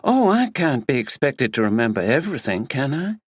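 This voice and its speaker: A vain, perky actress with an American drawl, all breezy dismissal, as a male narrator puts her on. Her voice sits well above his own and swings about lively. The sound is close and dry. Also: medium pitch, brisk, breathy edge, no room sound, voice pushed up. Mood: dismissive.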